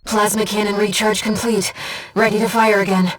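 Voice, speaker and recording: A woman voicing a gentle, earnest disembodied guide to a mercenary pilot in a video game, with a soft, measured, composed delivery. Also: mid-range voice, flat delivery, recorded from a distance, lightly acted. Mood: composed briefing. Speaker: mission support operator. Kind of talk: scripted video game voice acting.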